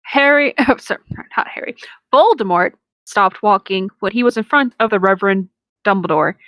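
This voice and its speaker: A woman's voice: mid-range voice, normal speed, a bit bright, no room sound. A woman doing a comic read-aloud with casual, irreverent, incredulous commentary. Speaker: co-host and reader.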